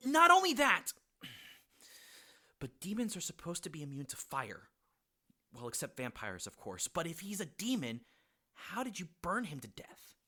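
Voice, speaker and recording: Man doing young gamer guy, bright forceful voice, blunt n exasperated, audiobook drama. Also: fairly high pitch, huge pitch swings, normal speed, pauses often, heavily acted. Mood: questioning. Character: forceful, questioning young male gamer companion. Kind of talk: amateur audiobook drama character acting.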